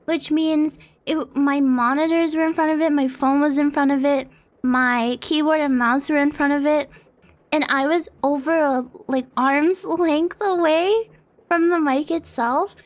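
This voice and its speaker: A woman's voice: high-pitched, steady tone, unhurried. A young woman streaming from her apartment, chatting casually in a rambling, self-deprecating way and swearing often, close to a desk mic. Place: home apartment streaming setup. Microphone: close desk mic on an arm.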